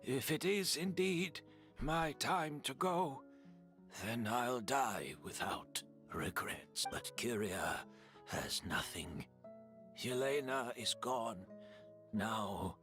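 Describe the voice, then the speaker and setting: An actor playing a weary, gentle, resigned male scholar in a game, speaking in a measured, wistful way. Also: medium pitch, animated, soft background music. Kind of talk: dramatic game dialogue.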